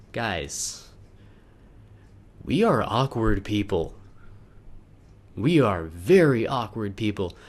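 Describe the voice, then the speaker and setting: Theatrical young man reading a personal letter. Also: lowish voice. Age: young adult. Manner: theatrical, halting delivery with emphatic repeats and wry asides. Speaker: video letter narrator.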